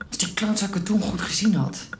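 A woman's voice: medium pitch, brisk, lightly acted. An actress playing a frank, emotional young wife and mother in a radio drama. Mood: bitter anger. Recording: radio drama under loud music. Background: music.

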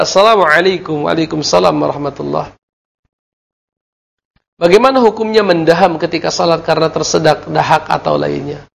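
Male religious teacher, TV call-in lesson, gravelly voice, a touch high, measured and explanatory with Arabic terms. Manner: measured explanation with Arabic terms, frequent fillers and self-corrections. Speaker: ustadz teaching Islamic law.

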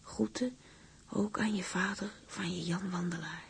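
Sincere letter-writing seaman, husky near-whisper with gravel, intimate radio play monologue. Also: high voice, steady tone, measured pace, exaggerated voice. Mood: intimate, reflective.

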